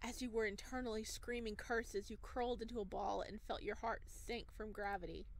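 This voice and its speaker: A woman hosting a gaming video, casually commenting and reading narration aloud in an expressive, gushing manner. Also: on the high side, moderate pace, a little hiss or hum.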